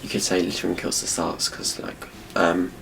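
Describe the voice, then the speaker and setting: A man's voice: low-pitched, little pitch movement, normal speed, gravelly. A man making a casual, off-the-cuff suggestion in a hesitant way, with many filler words. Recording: distant mic, faint background noise.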